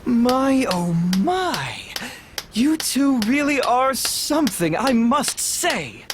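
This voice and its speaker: A woman playing a theatrical, taunting villain with grandiose, drawn-out delivery in a video game. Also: sits high, very animated, noisy background, exaggerated voice. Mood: mocking, amused. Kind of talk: scripted video game voice acting.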